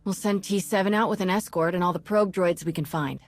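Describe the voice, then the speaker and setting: A woman's voice: on the low side, fairly quick, faint music behind. A woman playing a practical, reassuring space adventurer in a video game, with dry humour and confidence. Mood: practical, wry.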